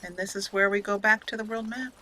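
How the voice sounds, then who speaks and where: A woman hosts a roleplay playthrough in a bright, clear-cut voice. She chats casually and drifts into emotive, story-like narration. Faint noise and game ambience sit behind her. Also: mid-range voice, steady tone, fast, rough recording. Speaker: let's play host and gamer.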